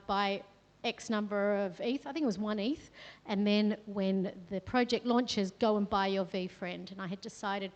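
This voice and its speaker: A woman's voice: mid-range voice, darkish tone. Australian woman talking in a reverberant hall. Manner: chatty storytelling with fillers. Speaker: guest speaker. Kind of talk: talk at a meetup. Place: event room with a live audience. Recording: long reverb in a venue hall.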